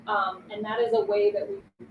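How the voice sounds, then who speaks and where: A woman's voice: mid-range voice, sing-song, moderate pace, dull audio. A woman speaking emphatically as a panelist in an informal webinar discussion, often checking in with a tag question. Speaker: panelist, organizer. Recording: noisy, roomy, distant webinar audio.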